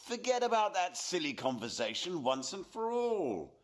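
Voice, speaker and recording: A man's voice: sits high, very animated, moderate pace. A middle-aged man acting a genial, domineering painter and father in a radio play, with a theatrical, coaxing delivery. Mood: exasperated. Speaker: actor.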